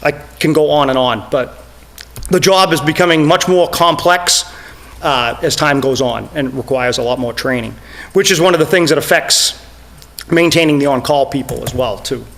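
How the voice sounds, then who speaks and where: A middle-aged man with a slightly higher voice gives a budget presentation to a town committee. He explains things steadily and plainly, filling pauses with "uh". His voice is wearing thin and going hoarse. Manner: steady explanatory, many "uh" fillers. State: losing his voice. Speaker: fire chief presenting budget. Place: town meeting room.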